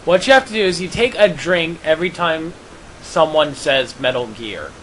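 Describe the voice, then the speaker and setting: A man's voice: a little high, lively intonation, normal speed, fairly bright tone, slight background noise, low-quality recording. A man giving casual, incredulous, questioning commentary in group gaming banter. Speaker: let's play commentator.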